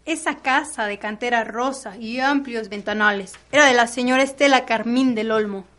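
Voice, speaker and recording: A young woman narrates a scripted radio drama. Her voice is somewhat high. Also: moderate pace, fairly bright tone. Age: young adult.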